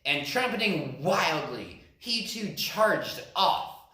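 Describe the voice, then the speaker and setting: Teacher guy reading a kids book slowly, very echoey room. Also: fairly high pitch. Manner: slow expressive narration. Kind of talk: picture book read-aloud.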